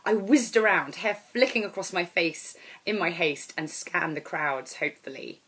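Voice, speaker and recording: A woman reading aloud as an author, in expressive storybook narration. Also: lowish voice, normal speed, breathy edge. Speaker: author reading own novel.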